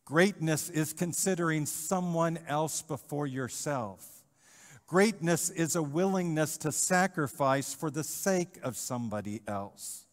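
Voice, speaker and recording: A middle-aged male pastor preaching a sermon in a church, animated, with rhetorical questions and repetition. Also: a little high, a little slow. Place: church sanctuary, in front of the altar. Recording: church livestream with long reverb.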